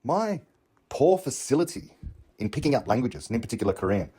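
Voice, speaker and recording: A man's voice: medium pitch, sing-song, brisk, dark tone, breathy edge. A man with an English accent, thinking aloud in a reflective, argumentative monologue and often asking rhetorical questions. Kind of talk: unscripted monologue on learning and understanding.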